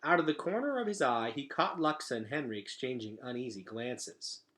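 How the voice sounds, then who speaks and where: Guy reading a kids book out loud, teacher style, pitch goes up and down a lot. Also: lively intonation, normal speed. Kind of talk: expressive storybook read-aloud.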